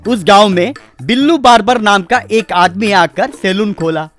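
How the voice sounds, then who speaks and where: A storyteller delivers a scripted tale in narration. The voice sits at a medium pitch. Music plays behind it. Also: normal speed, fairly bright tone. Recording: music under the voice. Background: background music.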